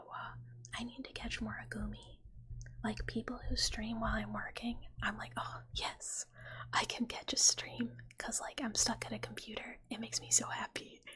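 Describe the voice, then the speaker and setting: Woman, very breathy whisper, highish pitch, ASMR livestream chat, playful and cutesy. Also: pushed and bright. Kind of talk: ASMR livestream chatting with viewers.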